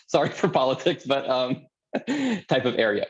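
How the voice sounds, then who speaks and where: A man in his thirties from California, telling his own story at a mentoring workshop in a relaxed, chatty way with frequent ums and laughs. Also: medium pitch, measured pace, lo-fi sound. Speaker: anesthesiologist speaking at a mentoring workshop. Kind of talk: casual personal story.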